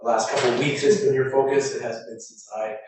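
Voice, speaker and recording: A man's voice: medium pitch, fairly even intonation, brisk. A councillor, a man speaking hesitantly and appreciatively to a colleague. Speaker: city councillor. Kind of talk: thanking a colleague at a meeting. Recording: echoey room, far from the mic, hiss.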